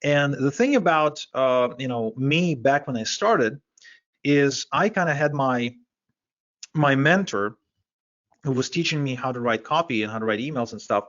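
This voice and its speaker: Persuasive Russian-native coach, dull lo-fi audio. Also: male voice, fairly bright tone. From Ukraine. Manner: brisk, persuasive pitch with frequent restarts. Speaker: online marketing coach. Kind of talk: webinar sales presentation.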